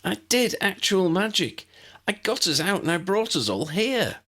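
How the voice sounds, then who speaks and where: An excitable, proud young girl witch from a fantasy audiobook, acted by a man. The voice is pushed well up in pitch and very animated. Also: unhurried, full character voice. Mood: triumphant. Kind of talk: audiobook character dialogue.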